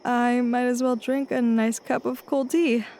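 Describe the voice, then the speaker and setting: A woman voicing a young woman in a fan audio drama, shrill and emotional. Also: little pitch movement, a little slow, a little mellow. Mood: wry. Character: young woman, frightened and furious.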